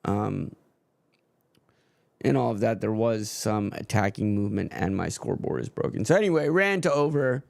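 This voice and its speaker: A man's voice: fairly low pitch, expressive, normal speed, creaky, a little mellow, no echo. A man streaming a live football match and ranting frankly about his team's manager, swearing freely in casual chat.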